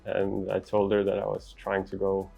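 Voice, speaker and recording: A middle-aged man from Denmark with a Danish accent, giving interview answers as a traveller in a measured, articulate, earnest way. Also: low-pitched, monotone, creaky, warm tone, husky, highs cut off, soft background music.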